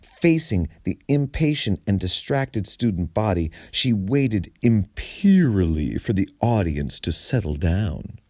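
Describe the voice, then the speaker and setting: Slow, animated male storyteller. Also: fairly low pitch, dry recording, studio quality. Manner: theatrical, deliberate phrasing. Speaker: storyteller reading aloud. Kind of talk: expressive read-aloud of a humorous story.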